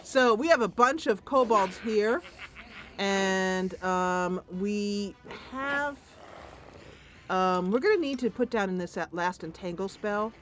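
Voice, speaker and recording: A woman's voice: medium pitch, expressive, slow pace, fairly bright tone, slight background noise. A woman doing casual gameplay commentary, thinking aloud playfully and talking to the game's characters. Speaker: host of a game playthrough.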